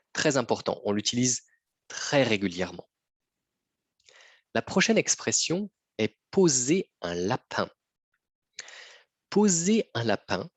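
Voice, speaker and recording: Slow, husky-voiced man teaching. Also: mid-range voice, no room sound. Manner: patient, repeats phrases, often checks with "d'accord?". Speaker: French teacher. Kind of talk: slow lesson for learners.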